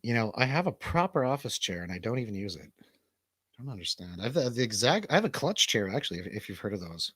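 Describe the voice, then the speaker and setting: Husky guy cohosting a stream, rambly n jokey, slangy, voice goes up and down a lot. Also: medium pitch, sing-song, quick pace, a bit bright, no room sound, clean studio sound. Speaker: livestream co-host. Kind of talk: casual livestream banter.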